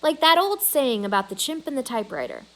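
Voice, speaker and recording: A woman's voice: sits high, big pitch swings, fairly quick, fairly bright tone, full character voice. A woman voicing a skeptical, hesitant father in a storybook, doubtful and trying to reason.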